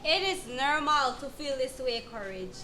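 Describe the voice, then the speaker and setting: Lady counsellor acted by a woman in a teaching skit, firm n supportive, slow, really lively high pitch, some noise. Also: exaggerated voice. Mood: firm, reassuring. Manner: deliberate, emphatic, instructive. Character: female counsellor, firm, supportive, explaining abuse to victim. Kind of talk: scripted educational dramatization. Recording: clear background noise.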